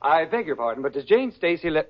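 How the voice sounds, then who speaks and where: An actor playing a smooth, polite gentleman caller in a 1940s radio sitcom. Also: on the high side, very animated, fast, slight background noise. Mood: courteous. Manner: smooth, courteous, formal. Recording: dull old radio broadcast.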